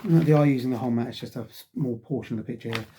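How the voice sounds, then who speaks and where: A man's voice: mid-range voice, racing, mellow, slight background noise. A middle-aged man reviewing a wargaming magazine in a casual, rambling way, sighing often and filling gaps with ums while he gets over a cold that has him losing his voice. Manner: rambling, frequent sighs and ums.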